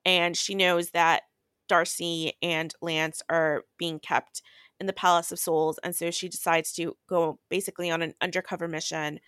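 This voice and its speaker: A woman with a slightly low voice recaps a book casually as a podcast co-host. She is blunt and exasperated and swears freely. The sound is dry and close. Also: flat delivery, moderate pace, fairly bright tone, clear, resonant tone, no echo.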